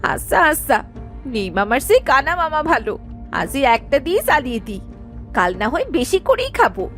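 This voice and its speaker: Very high, whiny young cartoon wife. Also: female voice, very animated, normal speed, a bit bright, faint music behind. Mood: eager. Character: gluttonous whiny young wife obsessed with fried prawns. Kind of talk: Bengali cartoon voice acting.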